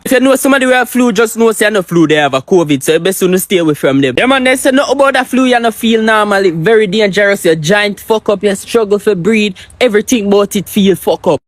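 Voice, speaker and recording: A Jamaican man talks quickly and bluntly, swearing freely as he gives his casual commentary. His voice sits a little high and the recording is dry and close. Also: no room sound. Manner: blunt, profane, rapid.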